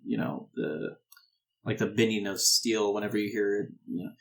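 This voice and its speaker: A man narrating a tabletop game as game master, improvising descriptions in a halting, descriptive way. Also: on the low side, creaky, fairly bright tone.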